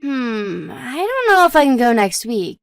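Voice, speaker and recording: A supportive young wife, played by a woman, talks in a high, dry-recorded voice with wide swings of pitch. She is modest and reassuring, chatting in a scripted domestic story drama. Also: big pitch swings, measured pace, gravelly, dry recording, full character voice. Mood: chatty, even-tempered.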